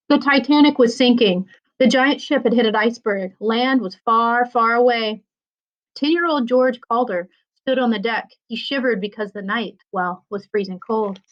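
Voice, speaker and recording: A woman with a fairly high voice reads a children's story aloud. Her delivery is expressive, in the manner of a school librarian. The recording is a little dull and lo-fi. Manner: expressive, acts out dialogue. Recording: dull lo-fi sound.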